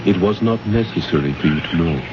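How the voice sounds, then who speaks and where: A dignified, mysterious alien man from an old radio play, with a fairly low voice. His phrasing is formal and reserved, heard through heavy noise on a muffled recording. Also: animated. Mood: grave, evasive. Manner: formal, measured wording, reserved. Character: enigmatic, dignified alien guide, secretly a king. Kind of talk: vintage radio drama acting. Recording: noisy, dull old radio broadcast recording.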